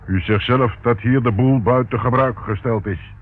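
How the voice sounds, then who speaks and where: An actor playing a shifty petty crook in a radio play, talking in a sullen, sarcastic way. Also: male voice, medium pitch, normal speed, close to an everyday voice. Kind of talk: radio play acting in Dutch. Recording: muffled, narrow-band, clearly noisy old radio recording.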